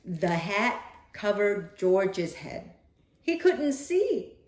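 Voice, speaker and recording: A woman's voice: medium pitch, sing-song, very slow, roomy sound. A woman reading a children's picture book aloud expressively, with dramatic delivery and playful asides to a young listener.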